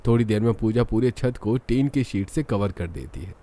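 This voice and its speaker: A man's voice: lowish voice, normal speed, warm tone. A man reading scripted narration for a cartoon story.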